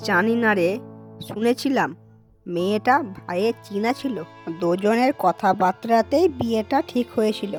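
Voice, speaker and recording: A woman's voice: mid-range voice, moderate pace, soft background music, lightly acted. A woman voicing a caring but chiding village mother and fond sister in a cartoon. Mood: wistful. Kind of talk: cartoon dialogue acting.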